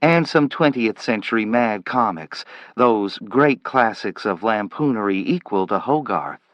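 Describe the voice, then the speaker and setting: A man putting on a courteous young woman at a front desk, smooth and soft-spoken. The low reading voice stays dry and close to the mic. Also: animated, unhurried, no echo, highs cut off. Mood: polite. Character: polite young woman receptionist.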